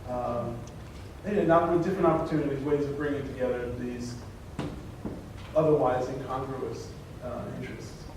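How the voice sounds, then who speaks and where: A man's voice: medium pitch, fairly even intonation, moderate pace, background noise. Poet man speaking far off in echoey hall. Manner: thoughtful, searching, with restarts. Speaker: poet on a panel. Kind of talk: answering audience questions. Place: conference room. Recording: echoey room, far from mic.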